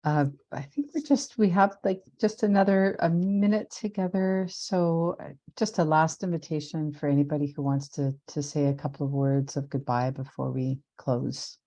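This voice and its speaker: Low mellow-voiced woman leading a workshop. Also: fairly even intonation. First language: Italian dialect. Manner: thoughtful, pausing with fillers. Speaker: workshop facilitator. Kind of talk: casual group talk on a video call.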